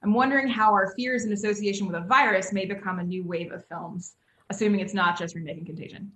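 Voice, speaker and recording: An American woman moderates a video panel in a casual, searching way, often thinking aloud. Her voice is bright and forward, at a middling pitch for a woman. The call carries a clearly roomy echo. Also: fairly even intonation, fast, slight background noise. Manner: informal, hesitant, thinking aloud. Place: indoor room, on Zoom call.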